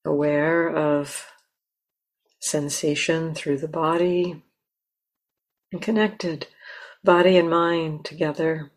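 A woman leads a guided meditation in a low voice. She speaks very slowly and gently, setting down short phrases and leaving long, unhurried pauses between them. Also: steady tone. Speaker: meditation teacher.

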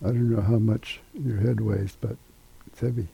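Meditation teacher, older male, very dark mellow tone, husky, halting delivery with long pauses. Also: fairly low pitch, fairly even intonation, lo-fi sound. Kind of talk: guided meditation instruction.

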